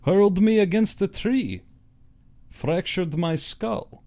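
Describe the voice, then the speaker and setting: A suave aristocratic Russian general, acted by a male audiobook narrator. He speaks with courtly, measured self-satisfaction and a sinister affability. His pitch often lifts and swings as he plays the gracious hunter. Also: animated, a little mellow, exaggerated voice. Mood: proud, menacing.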